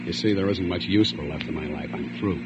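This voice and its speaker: An actor playing a cold, sneering escaped convict bent on revenge, in a 1940s radio drama. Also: male voice, low voice, fast talker, lightly acted. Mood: menacing. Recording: dull old radio recording with loud hiss.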